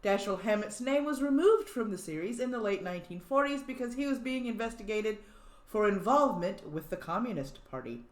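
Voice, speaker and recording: A woman's voice: mid-range voice, lively intonation, a little fast. A woman hosting a livestream, chatting casually, giggling and thinking aloud as she reads background notes. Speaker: livestream host. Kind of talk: casual chat. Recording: roomy echo.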